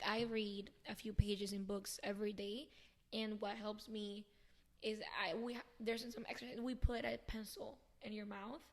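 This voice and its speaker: Flat-voiced woman rambling on. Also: medium pitch. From Venezuela. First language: Spanish. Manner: rambling, chatty, thinks aloud as she talks. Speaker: podcast guest. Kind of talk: casual interview chat.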